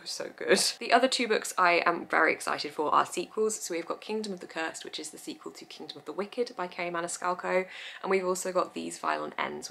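A woman's voice: fairly low pitch, gravelly, fairly bright tone. A young woman in her twenties chatting effusively about books, recorded indoors in front of bookshelves. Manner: chatty and effusive, laughs often. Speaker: book vlogger. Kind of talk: casual book review chat. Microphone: camera mic, head-and-shoulders framing.

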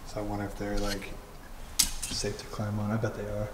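A young man in his twenties from Oklahoma, casually narrating as he explores an empty house, excited and slangy, laughing often. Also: fairly low pitch, moderate pace, slight background noise. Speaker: urban explorer vlogger. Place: large empty unfinished house, echoing rooms. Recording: very echoey empty rooms.

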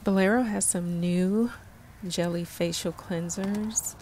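Female shopping vlogger, low register, casual descriptive delivery, faint background noise of a store. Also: fairly even intonation, slow, darkish tone. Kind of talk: casual shop-with-me narration.

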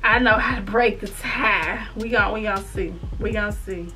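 A woman in her twenties chatting casually on camera in her bedroom, teasing and animated as she scrolls her phone. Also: mid-range voice, a little slow, over music, rough recording. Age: 20s. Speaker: YouTuber. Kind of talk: casual reaction vlog. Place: bedroom, indoors.

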